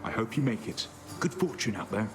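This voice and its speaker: A man's voice: on the low side, sing-song, fairly quick. An actor playing an amiable, deferential man in a fantasy game, courteous, chatty and easygoing. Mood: friendly. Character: amiable, deferential condemned king, cheerfully chatty.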